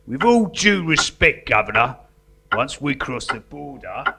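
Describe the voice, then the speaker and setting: A man playing an earnest, deluded artist in prison in a live stage comedy, matter-of-fact and deadpan. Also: mid-range voice, a little slow, vocal fry, breathy edge, heavily acted. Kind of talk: live comedy theatre acting. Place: live performance in a museum. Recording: noisy live stage recording.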